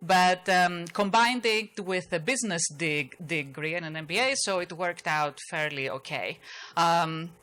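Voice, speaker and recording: Middle-aged Greek-accented woman panelist, bright and forceful voice, chatty with lots of restarts. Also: fairly low pitch, measured pace. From Greece. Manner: chatty, self-deprecating, many restarts. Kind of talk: panel talk.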